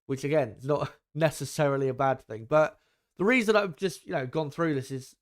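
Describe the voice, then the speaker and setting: Young man chatting to viewers. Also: a little fast. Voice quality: voice deeper than it used to be. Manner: rambling, frequent ums and asides to viewers. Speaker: livestream host. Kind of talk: casual live commentary chat.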